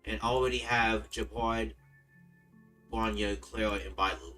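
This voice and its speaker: A laid-back man from the United States chats through his game stream in a relaxed, rambling way. He often restarts and repeats his words. His mic sits a bit far off, with faint game music underneath. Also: on the low side, normal speed. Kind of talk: casual gaming stream commentary.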